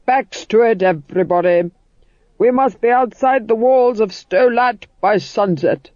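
A man's voice: high-pitched, measured pace, darkish tone, dry recording, pitched above the performer's own voice. A man reading an audiobook, voicing a jovial, theatrical actor-manager. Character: hearty booming actor-manager, cheerful and commanding. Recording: dull band-limited audio.

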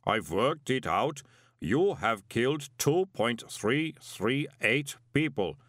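A man voicing a huge, impassive clay golem in an audiobook, with slow, formal, measured speech and a rumbling voice. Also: lively intonation, a bit bright, no echo, clean studio sound. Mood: blunt, calm. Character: impassive male clay golem, huge, literal-minded, sternly moral. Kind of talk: audiobook character voice.

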